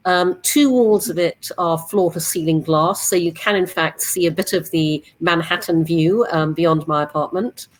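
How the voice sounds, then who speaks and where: A woman in her sixties, originally from England, introducing her company on a video-call panel in a measured, emphatic way. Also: lowish voice, rough recording. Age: 60s. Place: apartment living area.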